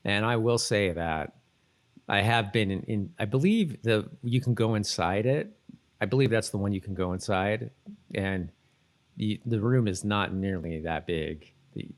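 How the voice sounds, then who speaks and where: A man in middle age with a fairly low voice chats casually as a podcast co-host. He talks in a halting way, qualifying what he says and backing up to correct himself. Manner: hedging, halting, self-correcting. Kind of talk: casual chat.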